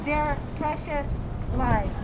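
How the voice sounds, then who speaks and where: A woman's voice: high voice, slow pace, muffled recording. A woman making solemn, chant-like statements at an outdoor rally. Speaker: rally speaker. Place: outdoors in winter wind. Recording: loud wind and street noise.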